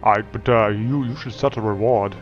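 A man's voice: on the low side, moderate pace, dull audio, faint music behind. Wheedling man with a put-on accent. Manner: streetwise, wheedling, ends lines with "mate". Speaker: player in a roleplay server. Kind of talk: in-character game roleplay over voice chat.

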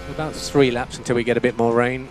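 A man's voice: medium pitch, little pitch movement, fast, music in the background. A man working as a race engineer, giving terse, matter-of-fact instructions over team radio and repeating key phrases. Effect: team radio transmission.

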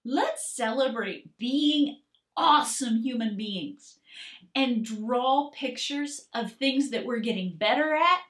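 A woman art teacher reading aloud and talking to young children in an expressive, gentle, encouraging manner. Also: a little high, slow. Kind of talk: expressive children's storybook read-aloud and lesson.

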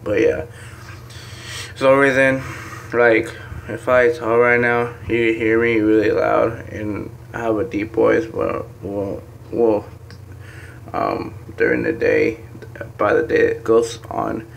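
Young man, hoarse from sickness, gravelly, lowish voice, rambling casual vlog, phone in hand, bedroom. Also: expressive, slow, slight background noise, low-quality recording. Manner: rambling, filler-heavy, addresses viewers as guys. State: hoarse from being sick and just woken up. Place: dim bedroom at home, indoors. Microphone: phone held in hand.

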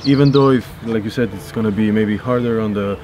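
A man's voice: fairly low pitch, brisk, darkish tone. A man in his thirties, not a native English speaker, chatting casually in a vlog with hesitant, repeated words. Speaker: vlogger and handball player. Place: outdoor rooftop terrace. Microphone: on-camera shotgun mic at short distance. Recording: outdoor urban noise, birds, traffic.

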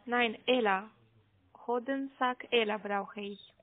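A woman's voice: fairly high pitch, slow pace, dry recording. A woman call center agent making a sales phone call in German as a non-native speaker. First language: not German. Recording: muffled narrow phone line.

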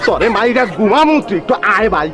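A man's voice: sits high, very animated, fast, over music. A man voicing an exasperated street-talking fellow itching for a fight, pleading yet threatening, with a Bangladeshi Bengali accent.

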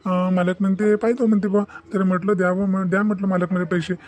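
Man playing an earnest, high-voiced village father. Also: little pitch movement, fast talker, a little mellow, natural voice. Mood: worried. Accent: Varhadi Marathi. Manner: earnest, repetitive, insistent. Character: loving, worried poor village father, protective of daughter. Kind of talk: amateur moral-story cartoon dialogue.

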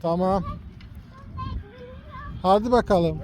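A father filming his small son in an outdoor park, gently coaxing him and pointing things out. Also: sits high, slow pace, slight background noise. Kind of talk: casual family vlog. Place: outdoor park with cartoon statues.

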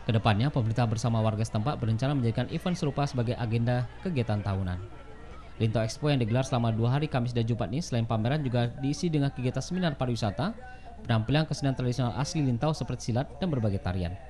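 A man reading a scripted news report as a voice-over in a brisk, formal way. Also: medium pitch, mellow.

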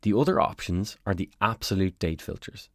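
Low-voiced man narrating a software walkthrough. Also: normal speed, no echo, clean studio sound. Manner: calm, step-by-step explanatory. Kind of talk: scripted screencast narration. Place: voice-over over screen recording.

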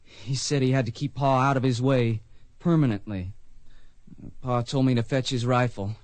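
A man's voice: mid-range voice, fairly even intonation, moderate pace, a little mellow, no echo, lightly acted. An actor playing an earnest, plain-spoken blind young man in a western radio drama. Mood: sorrowful, earnest. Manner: plain-spoken, polite, emotional. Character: earnest blind youth of the Old West, grieving son.

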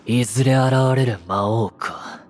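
A man playing a cool, streetwise young thief in a fantasy video game, with blunt, casual, rough speech. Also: mid-range voice, fairly even intonation, measured pace, noisy background, close to an everyday voice. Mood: suspicious. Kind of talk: video game cutscene acting. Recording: loud music behind the voice.

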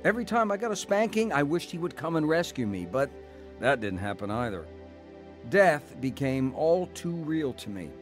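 A man's voice: fairly high pitch, sing-song, normal speed, faint music behind. An actor playing a wounded man who plainly and reflectively recalls his troubled farm boyhood in a radio drama testimony. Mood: reflective, hurt.

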